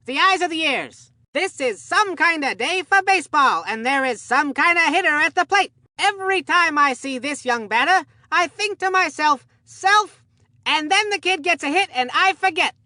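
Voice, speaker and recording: Goofy cartoon ballgame commentator, really high sharp voice, earnest and rambly, absent minded, folksy. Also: lively intonation, moderate pace, no room sound, clean studio sound, exaggerated voice. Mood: upbeat, folksy. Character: excitable, scatterbrained kids' baseball commentator Abner Dubbleplay. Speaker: sports commentator. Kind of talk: cartoon video game sports commentary.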